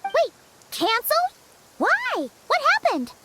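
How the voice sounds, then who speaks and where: A woman voicing a small, chirpy, excitable childlike fairy companion in a video game. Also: takes it slowly, very noisy, full character voice. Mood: eager, surprised. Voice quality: squeaky, childlike. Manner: refers to herself in third person, exclamatory. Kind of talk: video game character dialogue. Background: background game music.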